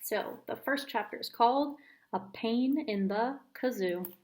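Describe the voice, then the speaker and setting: Female teacher-style storybook narrator, higher-pitched, very unhurried read-aloud delivery. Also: darkish tone.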